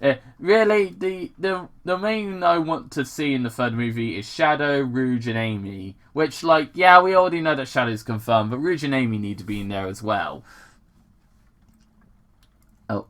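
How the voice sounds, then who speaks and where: Casting: amateur fan fiction reader, mid-pitched, highly animated, halting and excitable, casual rambling asides. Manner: halting, self-deprecating, excitable. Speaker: host reading fan fiction aloud. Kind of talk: casual dramatic reading with rambling commentary.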